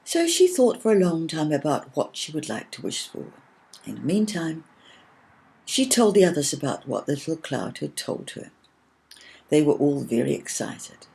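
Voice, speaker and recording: A woman narrating a children's story from a script in a gentle, expressive, measured way. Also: low-pitched, gravelly, rough recording.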